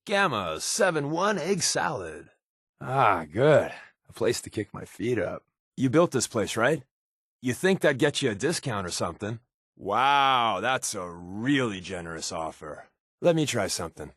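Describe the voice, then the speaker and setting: A wisecracking undercover rebel agent in a video game, wry and slangy, medium pitch, super dry recording. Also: male voice, big pitch swings, a little slow, a bit bright, no echo. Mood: wry, casual. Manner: wry, casual, slangy quipping. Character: sardonic wisecracking male undercover resistance agent. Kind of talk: video game character voice lines.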